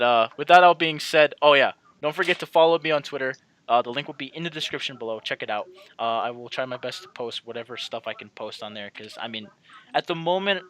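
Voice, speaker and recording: A man hosts a casual let's-play with a bright, forceful voice at a middling pitch. He rambles, circles back over his phrases and chats straight to his viewers as guys. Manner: rambling, repeats himself, addresses viewers as guys. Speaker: gaming channel host.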